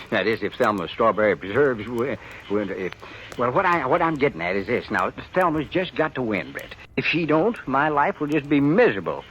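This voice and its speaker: An actor playing a nervous, henpecked small-town husband with an American accent, stammering earnestly in a 1950s radio western. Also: male voice, mid-range voice, very animated, normal speed, a bit bright. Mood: nervous pleading. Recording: faintly noisy 1950s radio broadcast.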